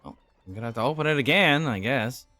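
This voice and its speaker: A man's voice: medium pitch, quick pace, no room sound. A man hosting a casual game playthrough and chatting with a friend. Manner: swinging, animated delivery. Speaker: gaming channel host. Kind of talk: casual let's play commentary.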